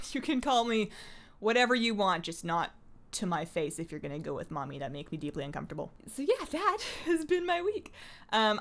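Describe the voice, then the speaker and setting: A woman chatting casually as a gaming vlogger, in a rambling, conversational and self-deprecating way. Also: a little high. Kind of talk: casual chatty vlog about an online game.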